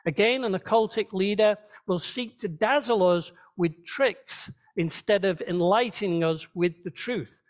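A man's voice: high-pitched, measured pace, hardly any pauses. A man preaching a sermon as a pastor, teaching conversationally and checking in with his listeners. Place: church, speaking to a congregation.

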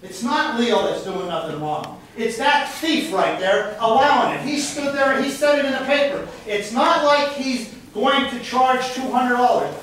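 A middle-aged man angrily addressing a city council at a public meeting, loud, frustrated and accusatory, hurling insults. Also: extremely high pitch, expressive, normal speed, hardly any pauses. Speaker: citizen speaking at a public meeting. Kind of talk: angry public comment speech to a city council. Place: large council chamber indoors. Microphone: distant handheld camera in the audience. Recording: echoey room, distant camera mic, faint noise.

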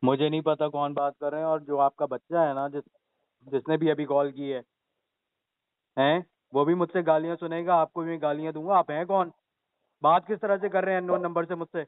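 A man's voice: on the high side, little pitch movement, fairly quick, smooth, no echo. A man talking curtly and irritably in a phone conversation. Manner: curt, irritated. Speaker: team member receiving a prank call. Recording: thin, dry phone-line audio.

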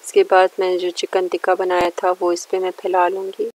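A woman's voice: on the low side, completely flat delivery, a little fast, a little mellow, dry recording, rough recording. A woman narrating a recipe step by step in a plain, instructional way. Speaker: cooking show host.

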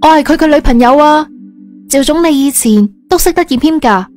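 A high, challenging female voice from an actress plays a proud young boss in an audio drama. She sounds self-assured and possessive, over faint music. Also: expressive, brisk, darkish tone. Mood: defiant.

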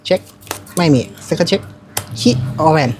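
A woman's voice: moderate pace, noisy background. A woman playing a card game at a shop table, calling out her moves and damage numbers in short bursts. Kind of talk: casual play-by-play at a card table. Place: comic shop gaming table.

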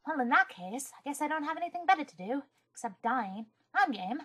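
A woman's voice: sits high, sing-song, fairly quick, over loud music. A woman voicing a polite, naive young policewoman in a parody cartoon. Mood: resigned. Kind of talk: fan-dub parody cartoon acting.

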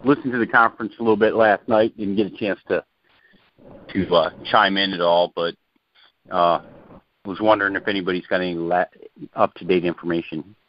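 A male caller from Ohio talking casually and haltingly over the phone. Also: low voice, fairly even intonation, no echo. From Ohio, United States. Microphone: telephone. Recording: muffled noisy phone line.

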